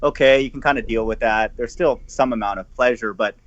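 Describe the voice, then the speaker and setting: A man's voice: mid-range voice, dry recording. A man on an online panel who talks emphatically and agrees often. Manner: emphatic, quick to agree and laugh. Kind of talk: casual panel chat. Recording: dull lo-fi stream audio.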